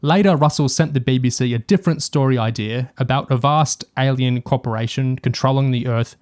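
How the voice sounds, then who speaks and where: A man's voice: medium pitch, brisk, darkish tone. Man in casual review discussion. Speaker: podcast co-host.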